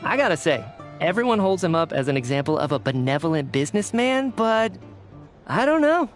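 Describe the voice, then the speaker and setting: A man's voice: fairly high pitch, big pitch swings, a little fast, soft background music. A man playing an earnest, apologetic, theater-loving writer in scripted video game dialogue, conversational and candid.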